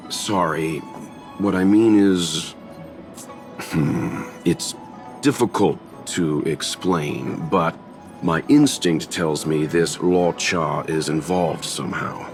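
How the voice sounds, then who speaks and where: An actor playing a reserved, thoughtful gentleman investigator in a video game, measured and polite with some hesitation. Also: deep voice, soft background music. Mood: hesitant, apologetic. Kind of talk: video game character acting.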